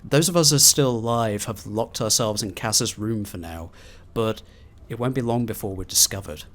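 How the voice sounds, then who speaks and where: A man doing video game commentary and reading in-game documents aloud in a theatrical, expressive way. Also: fairly low pitch, moderate pace. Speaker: gaming video host.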